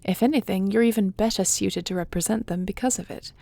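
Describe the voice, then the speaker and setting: A woman acting a tender dragon queen, creaky-voiced. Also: mid-range voice, no echo. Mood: reassuring, stately. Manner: formal, measured, gentle diction. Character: regal dragon queen, tender, earnest wife and would-be adoptive mother. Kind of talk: scripted audio roleplay drama.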